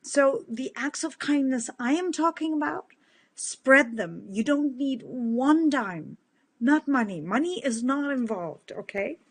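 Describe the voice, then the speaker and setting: A middle-aged woman with a big booming voice, giving an earnest, emphatic motivational talk straight to camera and repeating words for stress. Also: expressive, measured pace, slightly rough. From Netherlands. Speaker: motivational speaker. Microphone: facing several cameras.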